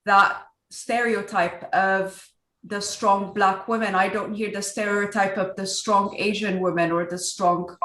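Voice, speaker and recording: Panel guest, woman from Ethiopia, earnest and strong-voiced, mid pitch; echoey video-call room. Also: little pitch movement, measured pace, a bit bright. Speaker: guest speaker. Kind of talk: virtual panel discussion.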